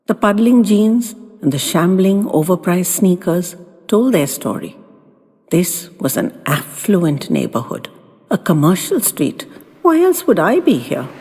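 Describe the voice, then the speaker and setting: A woman narrating a first-person audio story in a wistful, theatrical and deliberate way. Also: fairly low pitch, big pitch swings, mellow, breathy edge. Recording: long reverb, music underneath.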